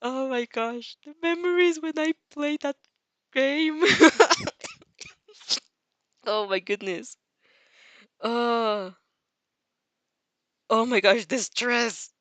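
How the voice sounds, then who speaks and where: A woman's voice: fairly high pitch, drawn-out words, pauses often, dry recording. A woman reading fan fiction expressively and informally, stumbling over and repeating phrases, with casual reactions of her own.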